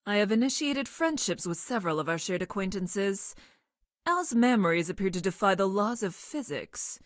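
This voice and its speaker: A woman playing a logical young woman scientist from the future in a video game, speaking in formal, clinical, precise deadpan. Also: medium pitch, moderate pace, no room sound, clean studio sound, lightly acted. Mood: clinical, curious. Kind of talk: video game character voice lines.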